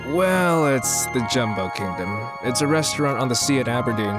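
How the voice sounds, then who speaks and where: A man acts out a wealthy, self-important suitor in an audio drama. He talks in a middle-pitched voice, boastful and eager to impress, with clear music running behind him. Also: lively intonation, normal speed, natural voice. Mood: eager, smooth. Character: boastful wealthy man, smitten and flattering on a date.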